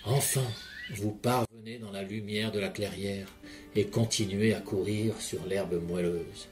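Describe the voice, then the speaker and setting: A man leading a guided relaxation session in a calm, measured voice, speaking directly to the listener. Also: on the low side. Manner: calm, measured second-person guiding. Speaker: hypnotherapist guide. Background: soft background music.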